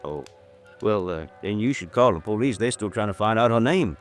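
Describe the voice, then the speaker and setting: Halting, low-voiced folksy bartender played by a man. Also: expressive, faint music behind, natural voice. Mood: polite, hesitant. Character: deferential, hesitant male hotel bartender, folksy and uneducated. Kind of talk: old-time radio drama acting.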